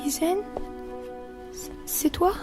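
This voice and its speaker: An actress playing a frail, secretive younger sister in an audio drama, with a weak, halting, breathy delivery. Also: female voice, over music, close to an everyday voice. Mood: weak, faltering.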